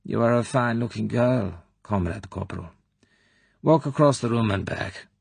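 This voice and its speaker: A man voicing a cold, domineering older female secret police colonel who talks in a smooth, commanding, falsely sweet way. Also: mid-range voice, normal speed, dry recording, pitched above the performer's own voice.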